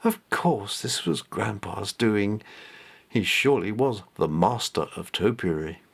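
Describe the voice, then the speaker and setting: A man narrates a scripted story in a medium-pitched voice. He reads carefully, as an amateur author would when sharing his own tale. The delivery is that of a plain storybook reading, without professional polish. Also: gravelly, breathy edge.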